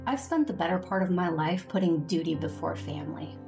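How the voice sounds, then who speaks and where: A woman's voice: on the low side, lively intonation, a little fast, music in the background. A woman playing an earnest, devoted female Jedi Master, narrating an audio drama in the first person. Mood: earnest, loving.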